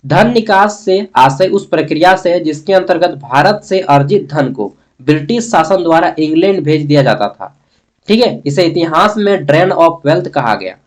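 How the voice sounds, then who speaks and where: A man's voice: a little high, expressive, normal speed. A man with a Jharkhand Hindi accent giving an informal exam-prep lesson as a teacher, conversational, repeating key terms and answering his own questions. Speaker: history teacher.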